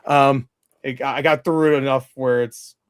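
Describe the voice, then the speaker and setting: A man's voice: mid-range voice, fairly even intonation, measured pace, clean tone, dry recording, studio quality. A man giving a casual film review in a rambling, emphatic and blunt way, as a movie reviewer in conversation.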